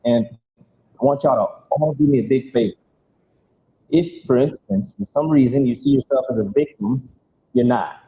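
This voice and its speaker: A man's voice: moderate pace, long pauses, phone-quality sound. A man hosting a live community event in a motivational style, encouraging and directing the people listening. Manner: encouraging, directive, rallies audience to respond. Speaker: event host. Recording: live recording with faint rumbling noise.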